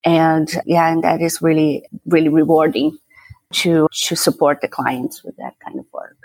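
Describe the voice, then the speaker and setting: Middle-aged Brazilian woman, native Portuguese speaker, relaxed interview guest; low-pitched, dry close recording. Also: normal speed, no echo, poor audio. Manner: easygoing, laughs lightly, repeats words as she thinks. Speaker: guest, tax attorney and CPA. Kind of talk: casual interview talk.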